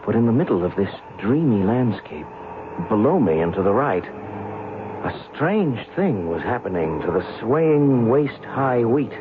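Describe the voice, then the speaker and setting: An actor playing a young, skeptical newspaper writer, chatty and curious, in an old-time radio drama. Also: male voice, lowish voice, sing-song, a little slow. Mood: awed, suspenseful. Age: young adult. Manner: hesitant, stammering in dialogue. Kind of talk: old-time radio drama acting, first-person narration and dialogue. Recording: tinny, band-limited old radio recording, faint noise.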